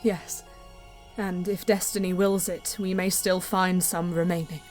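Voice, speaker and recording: A woman playing a solemn, proud female Eldar bonesinger in a sci-fi audio drama, narrating in a measured, hushed, portentous way. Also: lowish voice, level tone, lightly acted. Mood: hushed resolve. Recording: music under the voice. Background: music.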